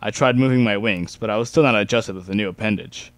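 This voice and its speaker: A man's voice: on the low side, quick pace, no room sound. Young man reading a story, dry sound. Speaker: amateur story reader. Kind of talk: dramatic reading of first-person fan fiction.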